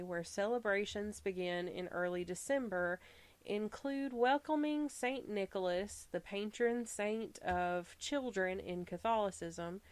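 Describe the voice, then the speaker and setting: Slow-talking Southern woman podcaster. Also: medium pitch. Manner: relaxed, chatty, stumbles and laughs at herself. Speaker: podcast host. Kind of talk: reading researched notes with casual asides.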